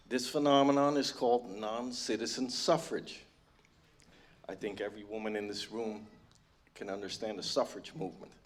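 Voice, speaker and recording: Man from the Netherlands, lowish voice, delivers a measured, prepared question at a public meeting. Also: fairly even intonation. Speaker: audience member asking a question.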